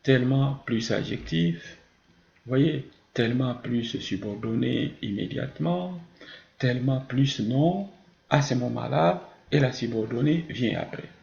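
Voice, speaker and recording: Gravelly male French teacher in an echoey room. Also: mid-range voice, unhurried, darkish tone. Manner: didactic, asks and answers his own questions. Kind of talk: grammar lesson with spoken examples. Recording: muffled, roomy, echoing sound.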